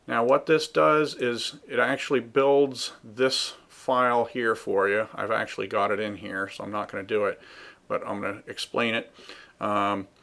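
A man hosting a casual, unscripted software walkthrough, halting and thinking aloud as he goes. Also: fairly low pitch, steady tone, gravelly. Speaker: tutorial host.

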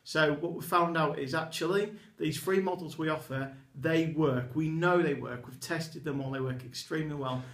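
A chatty, enthusiastic man in his thirties gives a product walkthrough at a desk, his voice sitting a little high for a man. The small room around him adds a clear echo. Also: moderate pace, few pauses, fairly bright tone. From England. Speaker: eyewear retailer presenting products. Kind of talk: product demonstration to camera. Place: small indoor office with a poster behind a desk. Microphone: camera in front at desk distance.

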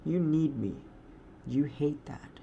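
A man's voice: a little high, slow pace, vocal fry, dark tone, highs cut off, a little hiss or hum, voice pushed up. A man playing a smug, cruel landlord in a satirical roleplay monologue, taunting and condescending, with mocking interjections. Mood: self-important, scornful. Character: smug, cruel landlord sneering at a struggling tenant.

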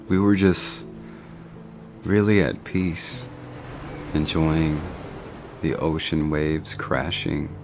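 A young man plays a tender, doting boyfriend in an ASMR role-play. His very low voice is full of vocal fry and moves slowly, in a soft, intimate murmur with long pauses. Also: fairly even intonation, noisy background. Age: young adult.

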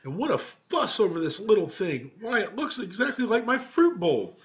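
A man's voice: sits high, sing-song, moderate pace, voice pushed up. A man voicing a fussy, affectionate beetle mother in a storybook, anxious and doting. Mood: gushing. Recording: dull webcam microphone sound.

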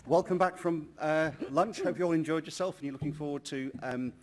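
A man's voice: fairly high pitch, a little fast. A man chairing a conference session, introducing speakers in a genial, chatty way with many ums, at a lectern in a large hall.

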